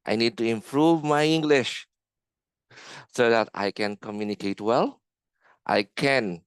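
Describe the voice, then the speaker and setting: A man speaks slowly and earnestly over a video call, and his lowish voice rises on the words he stresses. His tone is smooth and clear, and the sound is close and dry with no room echo. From Philippines. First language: Filipino. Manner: earnest, measured, rising in emphasis on key words. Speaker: club member giving an impromptu speech. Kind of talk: impromptu speech on a video call.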